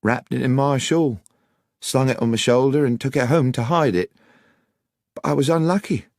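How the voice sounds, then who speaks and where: A man voicing an anxious, deferential young country woman with rustic, halting speech, in an audiobook. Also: mid-range voice, darkish tone, dry recording, clean studio sound, voice pushed up, natural voice. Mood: anxious, earnest. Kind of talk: audiobook character voice.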